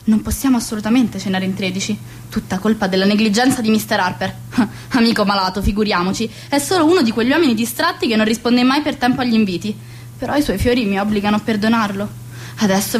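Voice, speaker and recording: Radio play: woman as gushing, bossy society hostess, high voice, some background noise. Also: fast, lightly acted. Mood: flustered. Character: fussy, social-climbing society hostess and mother.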